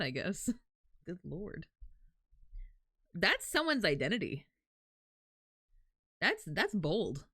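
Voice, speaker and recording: A woman's voice: fairly low pitch, no room sound, clean studio sound. A woman chatting casually as a livestreamer, candid and freely swearing, telling things in a dramatic, self-deprecating way. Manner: animated, dramatic storytelling.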